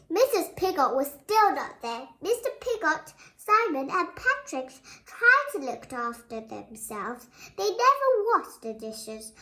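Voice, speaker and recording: A child reading a picture book aloud in an expressive way, with spontaneous exclaiming side comments. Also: high-pitched. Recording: roomy, echoing home recording.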